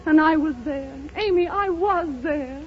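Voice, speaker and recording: A woman's voice: high-pitched, measured pace. An actress playing a serene, rapturous bedridden blind woman in a 1930s radio drama, speaking with lyrical, theatrical fervor. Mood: rapturous, insistent. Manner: theatrical, impassioned, lyrical declamation. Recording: muffled 1930s radio broadcast, loud music.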